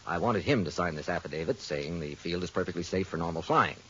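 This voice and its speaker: A man's voice: deep voice, expressive, quick pace, fairly bright tone, breathy edge, full character voice. An actor playing a persuasive male insurance adjuster in a 1940s radio drama, with a dull old radio sound.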